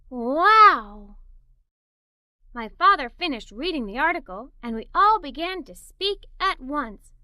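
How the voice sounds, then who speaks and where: A woman playing a curious, excitable young girl who narrates a children's storybook in an animated, expressive way. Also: high voice, no echo, full character voice. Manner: animated, expressive, wide-eyed. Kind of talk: scripted children's story read-aloud.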